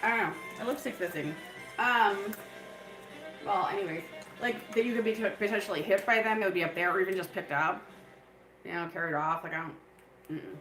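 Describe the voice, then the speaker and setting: A woman's voice: medium pitch, brisk, long pauses, fairly bright tone, roomy sound, faint music behind, low-quality recording. A woman hosting a live stream, talking in a loose, rambling way full of fillers. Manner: breaks into laughter. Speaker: livestream host.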